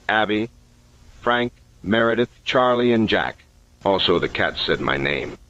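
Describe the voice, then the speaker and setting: A man acts a sceptical investigator with a deep voice, dictating case notes in an analog horror story. He is deliberate and analytical, on a dull recording with faint noise behind him. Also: a bit bright. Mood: analytical, wary. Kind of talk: scripted analog horror narration.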